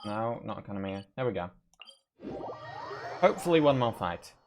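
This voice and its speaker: A man's voice: fairly low pitch, sing-song, normal speed, creaky, slight background noise. A man live streaming a video game, casually thinking aloud as he plays. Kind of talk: casual gameplay commentary. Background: video game music and sounds.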